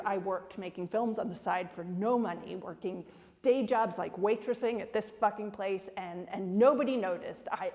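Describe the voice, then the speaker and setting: A woman's voice: medium pitch, natural voice. A woman playing an outspoken, indignant film director in a dramatic monologue, defiant and sweary, tripping over repeated words. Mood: bitter, insistent. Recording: long echoing hall reverb.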